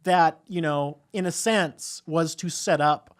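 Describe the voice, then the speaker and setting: A woman's voice: deep voice, slow. A woman co-hosting a live podcast, chatting casually about films in a rambling way with emphatic agreement. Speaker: podcast co-host.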